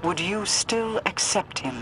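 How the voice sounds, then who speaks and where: An actress playing a proud, cold queen in a video game, speaking in a formal, commanding, measured way. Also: medium pitch, lively intonation, background noise, close to an everyday voice. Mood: brooding, searching. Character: proud, cold, imperious queen mourning her brother. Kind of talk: dramatic video game dialogue.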